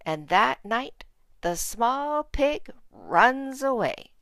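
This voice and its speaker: A woman's voice: a little high, sing-song, very slow, fairly bright tone, no echo. A woman reading a children's storybook aloud as narrator. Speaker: storybook reader.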